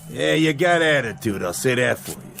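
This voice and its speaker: Sleazy cigar chomping boxing manager, sneery, very up and down voice, music under. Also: male voice, medium pitch, expressive, moderate pace, creaky, fairly bright tone. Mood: smug. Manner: sneering, needling. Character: sleazy, mocking cigar-smoking fight manager. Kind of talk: radio drama acting.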